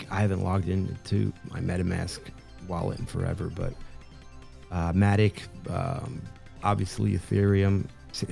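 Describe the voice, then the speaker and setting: Gravelly, dark-voiced man. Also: low voice. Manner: halting, many fillers and restarts. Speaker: streamer co-host. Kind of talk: casual live-stream interview.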